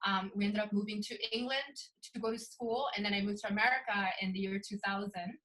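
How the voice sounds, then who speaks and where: A woman's voice: medium pitch, fairly even intonation, fast talker, fairly bright tone, low-quality recording. A young woman from Sweden, a photographer, talking earnestly and eagerly as an interview guest, with frequent ums. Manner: earnest, enthusiastic, many ums. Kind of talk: casual interview talk.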